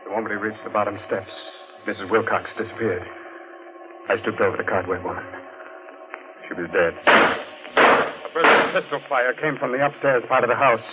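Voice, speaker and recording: Through a hissy, narrow vintage radio recording comes an actor as a man caught up in a murder mystery. His fairly low voice tells the story with grave, dramatic suspense. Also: moderate pace, pauses often, natural voice. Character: shaken male narrator of a murder mystery. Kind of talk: dramatic old radio narration.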